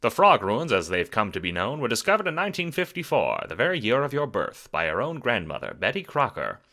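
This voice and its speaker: A man giving dramatic, wry scripted narration for an audio drama, theatrical and expressive. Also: medium pitch, a little fast.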